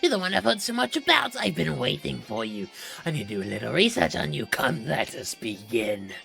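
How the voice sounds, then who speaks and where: A woman voicing a composed, condescending villain lieutenant from a video game, measured and coolly formal. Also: deep voice, very animated, vocal fry, fairly bright tone, soft background music, heavily acted. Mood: cool, scheming. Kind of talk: video game character reel.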